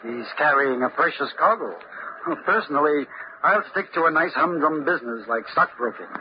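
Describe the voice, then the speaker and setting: Actor as a suave, higher-pitched radio-drama gentleman. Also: normal speed, natural voice. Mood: curious. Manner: courteous, suave, flattering. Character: smooth-mannered, sociable gentleman stockbroker aboard ship. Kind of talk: old-time radio drama acting. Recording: dull, noisy old radio recording.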